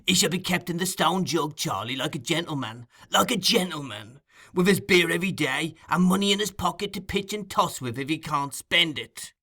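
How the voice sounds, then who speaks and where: A man's voice: on the high side, lively intonation, normal speed, pushed and bright, slightly rough, voice pushed up, full character voice. A man voicing a scheming old male thief-master who wheedles and keeps calling people "my dear.". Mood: coaxing.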